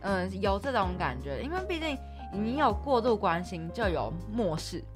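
A woman's voice: mid-range voice, very animated, normal speed. A woman co-hosting a podcast in casual discussion. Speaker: podcast co-host. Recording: background music under speech.

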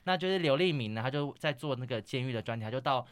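A woman's voice: very deep voice, animated, fast. A woman co-hosting a podcast, chatting casually and retelling a film's story. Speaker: podcast co-host. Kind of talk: casual movie chat and plot retelling.

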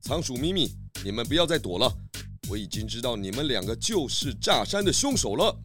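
A man's voice: mid-range voice, very animated, brisk, fairly bright tone, soft background music. A man voicing a confident pig detective in a children's audio drama, composed, knowing and theatrical.

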